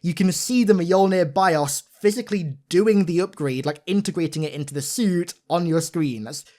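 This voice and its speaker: A man's voice: fairly high pitch, moderate pace, dark tone. A young man giving an informal, enthusiastic video game breakdown with an emphatic, gushing manner. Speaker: video game content creator.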